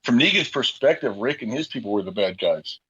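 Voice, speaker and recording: A man's voice: mid-range voice, expressive, fairly quick, fairly bright tone, breathy edge, no echo, highs cut off. A man giving casual, unscripted interview answers as a guest voice actor, rambling on in a chatty, earnest way.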